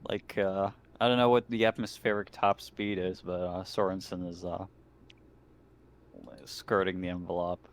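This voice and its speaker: A man's voice: low-pitched, flat delivery, unhurried. A man playing a tabletop game over a voice call, chatting casually as he describes his moves. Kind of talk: casual chat. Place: online voice call.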